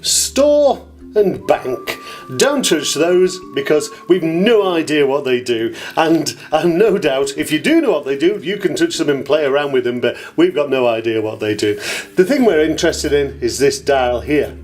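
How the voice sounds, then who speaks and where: Male demonstrator, informal and enthusiastic, very varied pitch, some echo processing on the voice. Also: expressive, moderate pace, a little mellow, soft background music. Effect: echo and delay at times. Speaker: demonstrator of an audio effects unit. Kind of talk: informal product tutorial.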